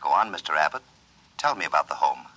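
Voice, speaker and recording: An actor playing a formal, measured psychiatrist in a 1950s radio drama, probing and clinical. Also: male voice, rumbling low, big pitch swings, bright, forceful tone, heavily acted, rough recording. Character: authoritative male psychiatrist dictating a case report, clinical and probing.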